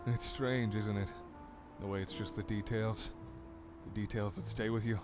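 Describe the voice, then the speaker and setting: 남자가 연기하는 낮은 목소리의 진지한 정치인. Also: male voice, lowish voice, fairly even intonation, music in the background, natural voice. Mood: wistful. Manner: sincere, measured, politician's polish. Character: earnest, persuasive male mayoral candidate. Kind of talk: audio drama acting.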